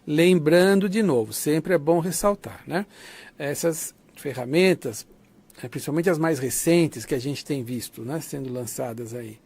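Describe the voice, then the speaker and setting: A man's voice: on the high side, measured pace, a little mellow, slightly rough. A man with a Brazilian accent lecturing in an informal, conversational teaching style, often checking in with short tag questions. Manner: didactic, conversational, often seeks confirmation with tags. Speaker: lecturer of an academic writing course. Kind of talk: online lecture, informal explanation.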